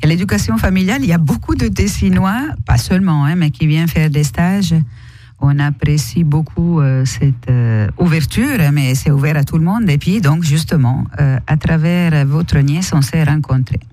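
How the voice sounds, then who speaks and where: Middle-aged woman telling stories, lots of euhs, warm dark voice, dry close mic. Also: low voice, normal speed, no room sound. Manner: chatty storytelling, frequent fillers, chuckles. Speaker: family education worker, radio guest. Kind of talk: casual radio interview. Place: radio studio. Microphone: studio microphone.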